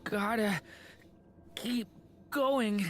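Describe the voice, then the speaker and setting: A woman voicing a frightened, weary young boy in a video game, speaking in a halting, earnest way. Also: mid-range voice. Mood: weak, faltering. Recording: game audio with loud music behind.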